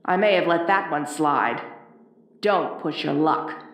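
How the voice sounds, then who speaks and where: Woman performing haughty ice queen, lowish female voice, bossy and cold, disdainful, audio roleplay, roomy echo. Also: a little hiss or hum. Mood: haughty, threatening. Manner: imperious, deliberate phrasing with disdainful hums. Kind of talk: audio roleplay addressed to the listener. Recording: roomy, echoing voice recording.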